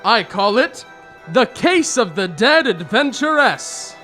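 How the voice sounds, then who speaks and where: An earnest, excitable gentleman sidekick acted by a woman with lively swings of pitch. It is a loyal, indignant character in an old-time radio play, with music behind. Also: slow, exaggerated voice. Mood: sober, obliging.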